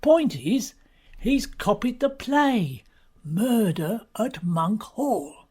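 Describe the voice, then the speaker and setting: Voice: mid-range voice, sing-song, extremely slow, a little mellow, husky, full character voice. An actor playing a chatty, rambling male theatre stagehand as a witness in an audio murder mystery, colloquial and theatrical. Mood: dramatic, relishing. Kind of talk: stage-style radio murder mystery acting.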